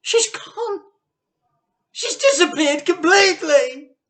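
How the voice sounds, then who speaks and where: Man acting a gleeful, loud farmer husband. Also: extremely high pitch, expressive, slow, voice pushed up, heavily acted. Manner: exuberant, shouting, mocking. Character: gleeful, mischievous middle-aged farmer husband.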